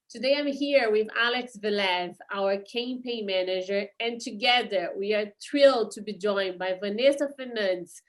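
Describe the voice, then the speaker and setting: A woman's voice: mid-range voice, low-quality recording. A woman hosting a podcast interview, speaking hesitantly with many fillers. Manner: hesitant, full of "you know" and "uh" fillers. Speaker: podcast host.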